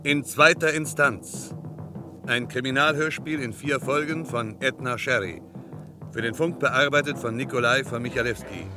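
A man's voice: medium pitch, moderate pace, music in the background. A man narrating a formal, scripted recap in the manner of 1960s German radio drama. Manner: formal, precise. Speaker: radio drama director and announcer.

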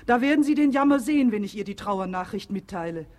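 Casting: actress as bold, scheming housemaid for a period radio comedy, mid-pitched, theatrical. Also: female voice, animated, fast talker, a little mellow, natural voice. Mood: brisk, scheming. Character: crafty, bold maidservant staging mock grief. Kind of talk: German radio play acting of classic comedy.

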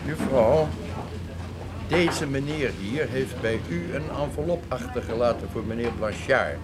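Actor as stern investigator on noisy recording. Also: male voice, mid-range voice, lively intonation, unhurried, natural voice. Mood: businesslike. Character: male investigator, stern, suspicious, businesslike. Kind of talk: radio play acting. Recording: noisy old radio play recording.

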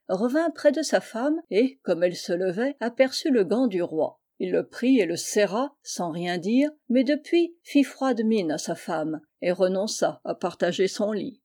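Mellow-voiced woman narrating a historical tale. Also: mid-range voice, expressive, unhurried, few pauses. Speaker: audiobook reader.

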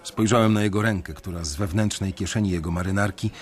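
A man's voice: deep voice, fairly even intonation, fast. A man narrating a radio drama in the first person, tense and brooding. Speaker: narrator and lead character.

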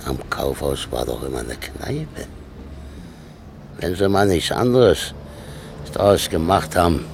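An actor playing a cheeky, stubborn old working man in a radio play, gruff and colloquial. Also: sits low, big pitch swings, slow pace, background noise, heavily acted. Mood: stubborn, wry. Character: grumbling, cheeky old working-class man, railway track walker. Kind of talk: naturalistic radio play acting.

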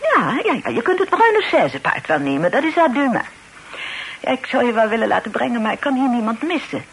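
An actress playing a fussy, bossy middle-aged aunt in a radio drama, chattering and flustered. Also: female voice, on the high side, very animated, fairly quick. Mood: chatty, brisk. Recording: noisy old radio play recording.

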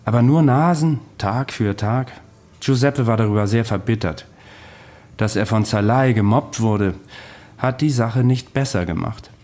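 A man's voice: medium pitch, measured pace, a little mellow, close to an everyday voice. An actor playing a dry, candid immortal witness recounting his long past in a conversational radio drama. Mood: wry, reminiscing. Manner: matter-of-fact, wry.

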